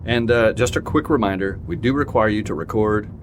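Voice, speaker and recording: A man acting a smooth, evasive corporate supervisor in an audio drama, officious and breezily dismissive. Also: fairly low pitch, animated, a little fast, natural voice. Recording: found-tape sound with clear background noise.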